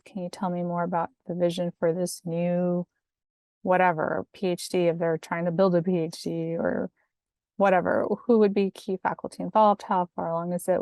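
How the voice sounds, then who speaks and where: A calm, soft-spoken woman giving chatty career advice over slides, with fillers and little laughs. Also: on the low side, dry recording. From family from Mexico. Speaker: academic career coach. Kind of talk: casual instructional talk over slides.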